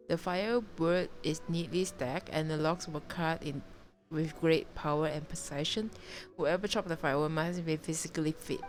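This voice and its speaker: A woman livestreams a game in a low-pitched voice. She reads on-screen text aloud haltingly and reacts casually, with music playing behind her. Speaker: game streamer. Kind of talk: live gameplay commentary, casual, reading game text aloud.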